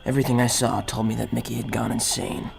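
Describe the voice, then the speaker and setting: Man playing a gravelly, brooding army captain narrating. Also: medium pitch, little pitch movement, quick pace, faint music behind, exaggerated voice. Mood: haunted, weary. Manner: grave, hard-boiled. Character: brooding, war-weary male army captain, haunted and cynical. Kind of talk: scripted first-person radio drama narration.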